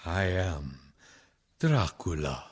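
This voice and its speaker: A man playing a courteous, menacing old vampire count in a radio play, speaking slowly and ceremoniously. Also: deep voice, sing-song, very noisy, heavily acted. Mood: sinister delight. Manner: slow, deliberate, ceremonious.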